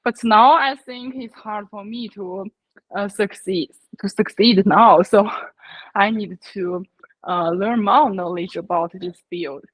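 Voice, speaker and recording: Slow, hesitant woman learner, dry sound. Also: medium pitch, dry recording, rough recording. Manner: hesitant, many filler pauses while speaking a second language. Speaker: English learner, participant. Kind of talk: casual conversation practice.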